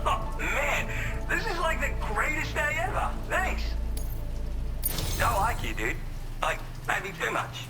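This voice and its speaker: Gushing game slacker, very animated pitch, highish, casual slang, roomy. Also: male voice. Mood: grateful, gushing. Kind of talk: video game cutscene acting.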